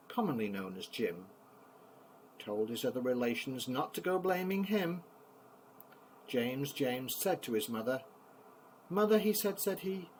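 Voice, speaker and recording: An older man, a grandfather, reading children's poems aloud in an expressive, theatrical bedtime style. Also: mid-range voice, slight background noise, poor audio.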